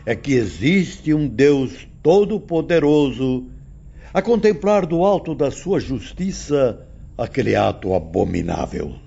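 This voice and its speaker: A man's voice: mid-range voice, very animated, slow pace, gravelly, warm tone, husky, exaggerated voice. A man playing a grave, moralising spirit teacher who instructs pupils in an oratorical audiobook style. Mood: solemn reproach. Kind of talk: dramatised audiobook lecture. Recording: muffled, noisy ambience.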